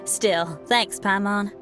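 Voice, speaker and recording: An actress voicing a bold young woman rock musician in a game, confident and cheeky. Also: mid-range voice. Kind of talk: game cutscene dialogue.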